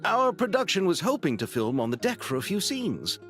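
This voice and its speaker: A man's voice: high voice, huge pitch swings, fairly quick, a bit bright, faint music behind, exaggerated voice. An actor playing a polite, businesslike man from a film crew in a video game. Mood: polite, inquiring.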